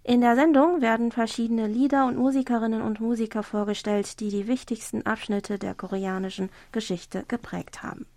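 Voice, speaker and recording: Higher-voiced female radio presenter. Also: steady tone, brisk, hardly any pauses, mellow, no room sound. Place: studio.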